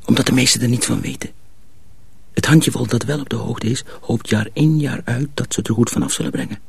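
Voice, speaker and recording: An actor playing a cautious, secretive young miller's apprentice in a radio drama, husky and often hushed, explaining things deliberately. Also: male voice, mid-range voice, no echo, exaggerated voice. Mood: earnest, hushed. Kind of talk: Dutch radio drama acting.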